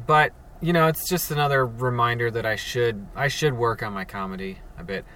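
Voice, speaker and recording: A man hosting a casual solo podcast from his parked car, speaking in a monotone with many halting fillers and restarts. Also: medium pitch, far from the mic, a little hiss or hum. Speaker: podcast host. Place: sitting alone in a parked car.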